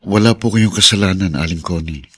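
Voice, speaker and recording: An actor playing a humble, forgiving younger family man in a Filipino radio drama, husky, polite and deferential. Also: low-pitched, a little fast, dry recording. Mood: reassuring. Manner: polite, gentle, deferential phrasing.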